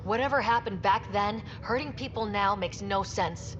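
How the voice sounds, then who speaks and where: A woman's voice: mid-range voice, normal speed, noisy background, natural voice. A woman playing an earnest, direct young space expedition leader in a video game. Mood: stern. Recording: muffled, long reverb, music behind.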